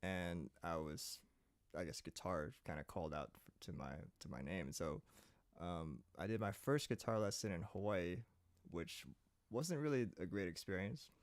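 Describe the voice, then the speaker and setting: A young man from Saipan, a singer-songwriter guest, telling his story in a relaxed, rambling way with many fillers. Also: low-pitched, moderate pace, no echo. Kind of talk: casual interview chat.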